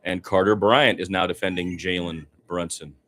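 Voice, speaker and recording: A man's voice: low-pitched, moderate pace. A man doing live radio play-by-play of a basketball game in short, clipped phrases that rise with the action. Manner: narrates action in short clipped phrases, rising excitedly on big plays.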